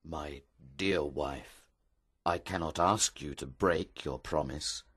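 A man voicing a tender, grave noble husband in a storybook, husky and solemn. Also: extremely low pitch, slow pace, fairly bright tone, pitched below the performer's own voice, exaggerated voice.

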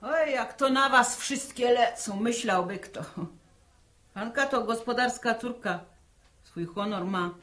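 A woman's voice: a little high, slow, fairly bright tone. An actress in a 1960s Polish radio drama playing a fretful middle-aged village mother, homely and bossy, with many exclamations. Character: fretful, bustling village mother, housewife and cook.

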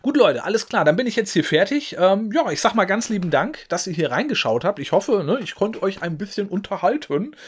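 A man in his forties talks casually to camera about games, in a voice that sits a little high. He rambles and leans on fillers and little tag questions. He speaks into a desk mic in a room at home. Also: very animated, a little fast, hardly any pauses, husky. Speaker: gaming video host. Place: home room with game shelves, indoors. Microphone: desk mic in front.

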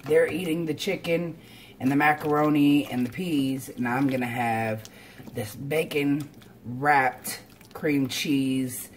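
A woman's voice: rumbling low, takes it slowly. A woman, casually talking through cooking steps for a home vlog, recorded on a camera in her kitchen. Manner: informal, step-by-step explaining. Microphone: camera on counter or at arm's length. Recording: noisy kitchen with frying and clatter.

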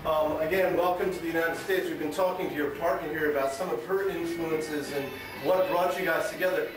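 A man interviewing two musicians, asking questions in a halting way with many fillers. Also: fairly high pitch, fairly even intonation. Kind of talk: casual interview. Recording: very echoey room, distant mic, music behind.

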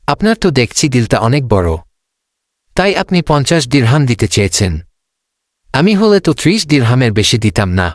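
A man voicing a sly swindler in a cartoon, flattering and falsely admiring. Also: mid-range voice, brisk, long pauses, dry recording, clean studio sound, close to an everyday voice. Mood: mock admiring. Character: sly male swindler feigning admiration as passerby. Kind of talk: cartoon dubbing.

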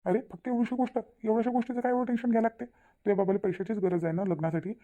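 A man's voice: high-pitched, steady tone, racing, warm tone, muffled recording, natural voice. A man with a Varhadi Marathi accent voicing a devoted, insistent young fiance in a cartoon family drama. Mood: insistent, reassuring. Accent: Varhadi Marathi from Vidarbha. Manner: colloquial, emphatic repetition.

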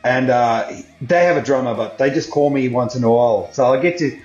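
A man's voice: mid-range voice, a little fast, soft background music. Guy from south africa, laid-back drummer rambling with lots of ums, echoey muffled call audio. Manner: relaxed, rambling, many fillers. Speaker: guest drummer. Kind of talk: casual interview chat. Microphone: video call. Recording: roomy, dull video-call audio.

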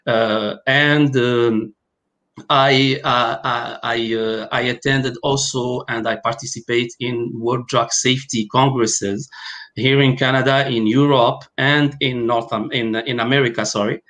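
Halting man. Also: medium pitch, fairly even intonation, fairly bright tone. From Algeria. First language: Arabic and French. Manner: halting, many fillers and restarts. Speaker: guest pharmacovigilance specialist. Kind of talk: online interview. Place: home room, video call.

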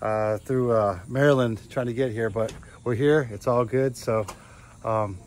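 A man's voice: medium pitch, fairly even intonation, a little mellow, slight background noise. A man in his thirties vlogging his thru-hike in a casual, upbeat, easygoing way, filming himself with a phone at arm's length on a misty forest trail. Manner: upbeat, easygoing, drawn-out fillers. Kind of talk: casual trail vlog to camera. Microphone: phone held at arm's length.

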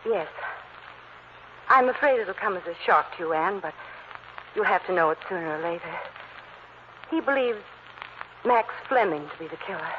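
A woman's voice: lowish voice, animated, normal speed, long pauses, lightly acted. An actress playing a poised, plucky society woman, a sleuth's companion, in 1940s radio drama style. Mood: gracious. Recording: noisy, muffled old radio recording.

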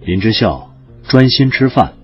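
An actor playing a calm, teasing young doctor in love in an audio drama, speaking in a dry, understated way. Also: male voice, on the low side, measured pace, slightly rough, highs cut off, faint music behind, exaggerated voice. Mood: dry, gentle.

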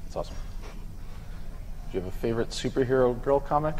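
A man's voice: mid-range voice, lively intonation, quick pace, a little mellow. A young man moderating a live panel casually from a lectern on stage. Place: convention stage before an audience. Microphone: lectern microphone. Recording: reverberant hall, faint noise.

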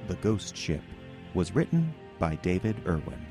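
A man reading scripted radio drama credits as announcer, measured and formal. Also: sits low, sing-song, loud music behind the voice. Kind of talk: scripted closing credits read.

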